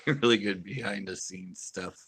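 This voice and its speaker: A man's voice: deep voice, a little slow, fairly bright tone, husky. A man hosting a fishing channel, chatting casually on a live stream, rambling with many fillers and restarts and laughing often.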